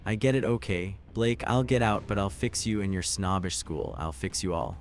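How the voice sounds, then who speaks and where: A man's voice: fairly low pitch, moderate pace, slight background noise, natural voice. A man playing a bitter, vengeful schoolteacher who talks with resentful menace. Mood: bitter, threatening. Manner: resentful, threatening.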